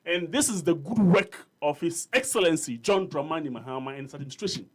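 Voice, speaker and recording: Ghanaian man, slightly high voice, argumentative radio panelist, code-switching English and Twi. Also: lively intonation, a little fast, breathy edge. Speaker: panel guest. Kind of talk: heated political debate. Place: radio studio.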